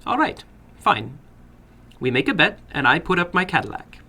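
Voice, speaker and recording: A man voicing a funny little foreign gambler in a dramatic story, soft and toneless, slowly coaxing in courteous broken English with quiet menace. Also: a little high, big pitch swings, gravelly, husky, pitched above the performer's own voice, heavily acted. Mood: smoothly persuasive.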